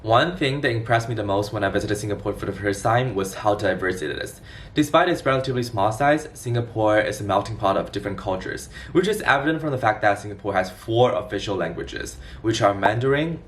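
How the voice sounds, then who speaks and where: A man in his twenties from China giving a scripted explainer vlog in a clear, organized way. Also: fairly low pitch, expressive, brisk, distant mic, background noise. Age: 20s. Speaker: vlogger.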